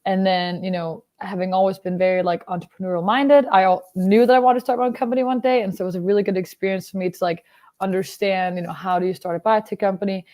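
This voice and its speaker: A young woman from Denmark, a biotech startup founder, answering interview questions in a relaxed, explanatory way, full of fillers. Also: medium pitch, fast talker. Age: young adult.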